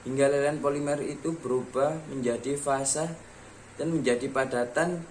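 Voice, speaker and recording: A man at an everyday middle pitch reads a scripted technical lesson, calmly moving from one numbered point to the next. A faint hum of ambience sits under the voice-over. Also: moderate pace, low-quality recording. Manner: reads steadily from a script, listing steps in order. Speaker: student presenter.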